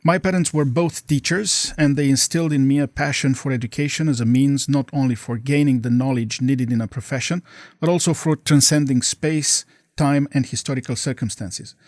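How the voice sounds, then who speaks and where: A middle-aged man from Romania, a professor answering interview questions in measured, formal sentences. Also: medium pitch, a little mellow, no room sound, clean studio sound. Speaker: guest professor.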